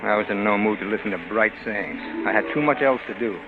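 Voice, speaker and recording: A man's voice: fairly low pitch, fast, close to an everyday voice. An actor playing a greedy, scheming American husband in a vintage noir radio drama, narrating and acting his part. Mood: brooding, calculating. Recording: muffled old radio broadcast with music.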